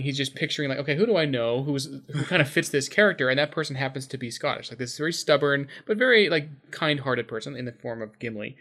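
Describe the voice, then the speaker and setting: A man's voice: mid-range voice, sharp tone. A man from the United States co-hosting a casual podcast, thinking aloud in a musing way with many fillers. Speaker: podcast co-host.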